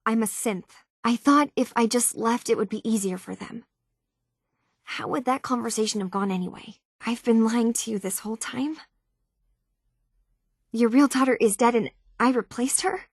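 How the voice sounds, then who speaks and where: Earnest young synth woman, husky, higher-pitched. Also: moderate pace, no room sound, studio quality. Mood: troubled, earnest. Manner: earnest, hesitant, conversational. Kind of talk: video game character dialogue.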